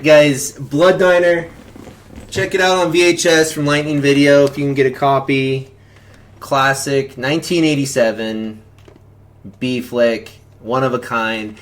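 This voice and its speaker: A man's voice: mid-range voice, fairly bright tone. A man hosting a live stream, chatting in a loose, laid-back and rambling way. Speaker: host of a movie commentary live stream. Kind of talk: casual chat to viewers and caller.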